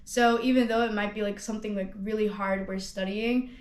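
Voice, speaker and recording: A young woman of nineteen, raised in Hong Kong, speaks in a relaxed testimonial. Her voice sits at a middle pitch and she talks loosely, full of fillers and restarts. The room adds a noticeable echo. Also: fairly quick. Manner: chatty, lots of "like" and restarts. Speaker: student ambassador. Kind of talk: casual testimonial interview. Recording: roomy echo.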